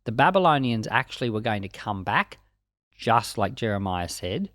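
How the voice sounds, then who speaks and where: A man's voice: fairly low pitch, very animated, normal speed, fairly bright tone, dry recording, studio quality. A man teaching the Bible in an informal, conversational way, using colloquial phrasing and acting out little asides as he comments.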